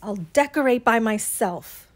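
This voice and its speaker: A woman's voice: a little high, lively intonation, measured pace. A woman voicing a hardworking female storybook iguana, emphatic and insistent with her lazy friends. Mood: resolute.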